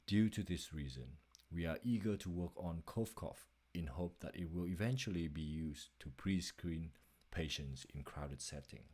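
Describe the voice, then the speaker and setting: A man's voice: sits low, moderate pace, darkish tone, breathy edge. A man reading a scripted narration for a slide presentation as its presenter.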